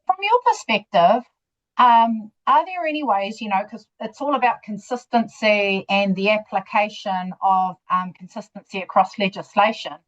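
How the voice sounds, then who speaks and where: A woman on a parliamentary committee, asking a submitter a question in a halting way with many ums. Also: mid-range voice, a bit bright. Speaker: committee member. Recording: dull lo-fi sound.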